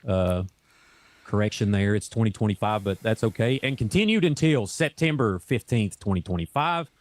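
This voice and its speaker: Hoarse man reading a news statement. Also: lowish voice, lively intonation, normal speed, no echo. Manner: casual, stumbles over words, adds corrections. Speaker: online video host reading a news statement. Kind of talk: reading a news report aloud.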